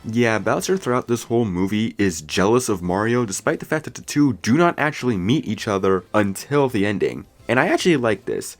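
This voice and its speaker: Low-pitched man narrating a film review. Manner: opinionated, casual fan commentary. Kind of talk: scripted video review narration.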